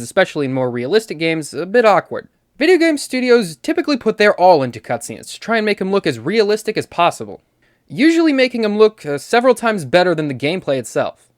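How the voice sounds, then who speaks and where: A man presents casual video essay commentary in a close, dry recording with almost no room sound. His voice sits at an average pitch, and he talks conversationally, dropping in wry asides. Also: dry recording. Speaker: host.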